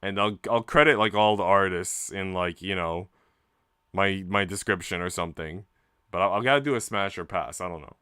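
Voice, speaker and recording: A man's voice: deep voice, normal speed, sharp tone, clear, resonant tone, no room sound, clean studio sound. A man streaming, reading a visual novel aloud and chatting casually with his audience. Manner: informal, slangy when chatting.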